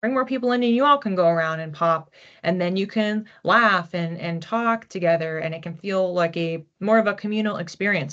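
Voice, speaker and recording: Low-voiced woman musing through a reading. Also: a bit bright. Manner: hesitant, repeats words while searching for images. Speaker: psychic reader. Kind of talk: intuitive reading, musing aloud. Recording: dull lo-fi recording.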